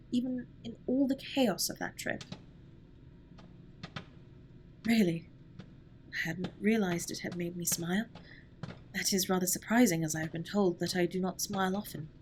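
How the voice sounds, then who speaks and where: A woman's voice: mid-range voice, slight background noise, lightly acted. A woman playing a formal, reserved but tender alien spaceship captain in a scripted comfort audio roleplay, speaking with precise, stilted politeness. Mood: pleasantly surprised.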